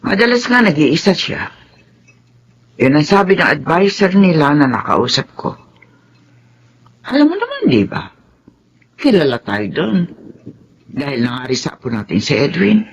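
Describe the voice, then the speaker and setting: A concerned old grandfather in a radio drama. His husky voice swings widely in pitch, and he has a Filipino accent. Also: wildly swooping pitch, measured pace, pauses often, dull audio, heavily acted. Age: older.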